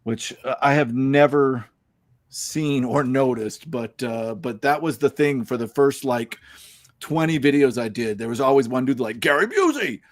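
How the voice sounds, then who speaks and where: A man's voice: medium pitch, expressive, unhurried, dry recording. A man hosting a casual podcast chat, rambling with many fillers and restarts and chuckling now and then. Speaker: podcast host.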